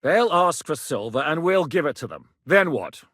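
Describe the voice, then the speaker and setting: An actor playing a shrewd, resolute medieval rebel captain who speaks plainly and with authority in a video game. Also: male voice, fairly high pitch, very animated, normal speed, fairly bright tone, no room sound, studio quality. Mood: grave, urgent. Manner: authoritative, decisive, plain-spoken. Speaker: military leader planning operations. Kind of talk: video game dialogue acting.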